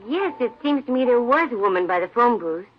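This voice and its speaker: High, animated radio store clerk. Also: female voice, fairly quick. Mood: tentative. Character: hesitant, talkative female department store clerk. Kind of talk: 1950s radio drama acting. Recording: dull, noisy old-time radio recording.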